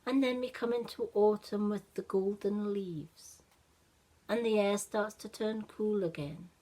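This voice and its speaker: A woman voicing a gentle, wistful magic snowman in a children's story, speaking with patient resignation. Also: medium pitch, steady tone, unhurried, natural voice, rough recording. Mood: sad, resigned.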